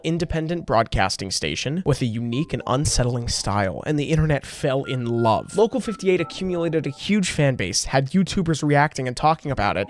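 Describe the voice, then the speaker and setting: Man narrating with lively, swinging pitch. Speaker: narrator of a video essay. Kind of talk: scripted narration.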